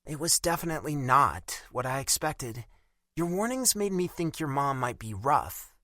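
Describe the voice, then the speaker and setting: A man voicing a sharp, sarcastic young woman. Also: mid-range voice, big pitch swings, normal speed, no echo, studio quality, pitched above the performer's own voice. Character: irritated young American woman, blunt and proud.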